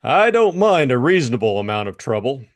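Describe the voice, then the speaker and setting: A man's voice: mid-range voice, sing-song, fairly quick, natural voice. A man playing a shrewd, cool private detective in a dramatized audiobook, blunt and knowing. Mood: cool, assured.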